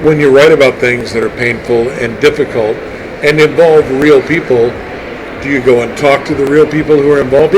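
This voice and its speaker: A man hosting an interview, asking engaged, reflective follow-up questions. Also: mid-range voice. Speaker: interview host. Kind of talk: conversational interview. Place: noisy public venue. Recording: noisy background.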